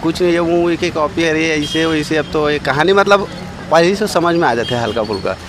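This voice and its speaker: A man's voice: fairly high pitch, brisk, fairly bright tone, slight background noise. A man with a Chhattisgarhi accent talking casually in a street interview, colloquial and repeating words for emphasis. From Chhattisgarh, India. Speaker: member of the public being interviewed.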